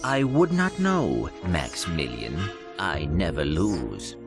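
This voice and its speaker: A man's voice: a bass voice, very animated, slow, gravelly, music in the background, full character voice. A man voicing a small, polite, literal-minded robot in a cartoon video game, speaking in a precise, formal and mild manner. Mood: suave.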